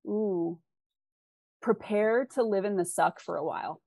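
A woman's voice: mid-range voice, a little slow, gravelly, darkish tone, dry recording. A woman speaking as an author guest in a conversational interview, giving candid, encouraging and emphatic advice.

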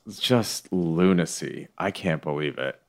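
A man's voice: rumbling low, lively intonation, measured pace, vocal fry, a little mellow. A man co-hosting a casual comedy podcast, rambling and questioning himself with many fillers. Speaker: podcast co-host.

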